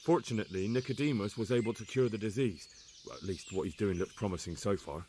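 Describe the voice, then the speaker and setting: An actor playing an earnest, measured young medieval man-at-arms in a video game. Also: lowish voice, darkish tone, a little hiss or hum, lightly acted. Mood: sober, dutiful. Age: young adult.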